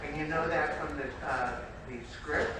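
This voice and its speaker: A man asking deliberate, probing questions as an audience member at a talk. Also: on the high side, steady tone, a crowd in the background. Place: echoey meeting room, off the microphone. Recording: very echoey, distant, noisy room.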